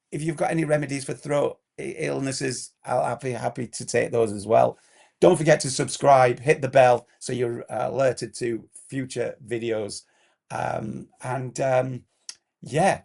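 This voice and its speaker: A man with a hoarse, croaky throat gives a direct instructional explainer as a compliance consultant, firing off checklist questions. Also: mid-range voice, darkish tone.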